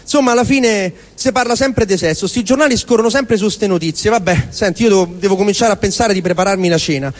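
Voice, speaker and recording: A man's voice: sits high, fast talker, hardly any pauses. A man with a Roman accent performing a rambling, exasperated comic monologue as if talking to himself. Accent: Roman Italian. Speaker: stage performer. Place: live performance venue. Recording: live venue with long reverberation.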